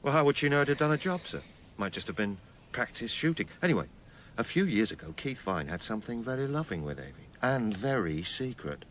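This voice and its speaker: An actor playing a sceptical police detective in a radio drama, dry, understated and wryly deferential. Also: male voice, mid-range voice, sing-song, brisk, fairly bright tone, a little hiss or hum. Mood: reasoning, sceptical.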